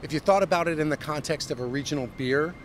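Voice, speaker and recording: A middle-aged American man, a company CEO, giving a fluent corporate pitch with frequent ums and uhs in a street interview. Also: fast talker. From United States. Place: busy downtown street outdoors. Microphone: handheld mic held by interviewer. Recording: outdoor street with traffic noise. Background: city traffic.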